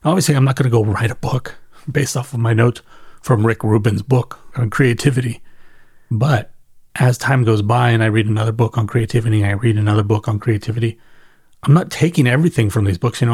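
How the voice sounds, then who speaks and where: A man's voice: medium pitch, fairly quick, darkish tone, breathy edge, no echo, studio quality. A middle-aged man hosting a solo podcast, talking casually and reflectively as he thinks aloud and corrects himself along the way. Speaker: podcast host.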